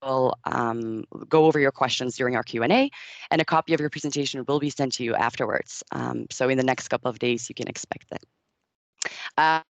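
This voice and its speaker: A woman's voice: low voice, dry recording. Woman doing a webinar intro, formal-ish, lots of ums, dry close sound. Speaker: webinar host, trade deputy.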